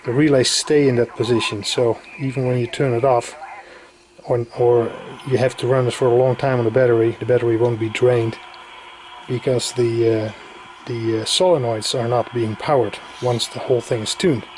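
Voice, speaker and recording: A man giving a casual, hands-on equipment demonstration with halting, informal explanations. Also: mid-range voice, steady tone, darkish tone, rough recording. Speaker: radio enthusiast presenting equipment. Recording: clear background noise.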